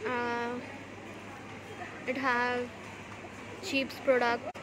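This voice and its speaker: A young woman vlogging with a phone held at arm's length, walking through a mall and then out onto a night street. She speaks simple, halting English and fluent, quick Hindi. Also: a little high, completely flat delivery, background noise. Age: young adult. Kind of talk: casual walking vlog. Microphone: phone held at arm's length, wired earphone mic.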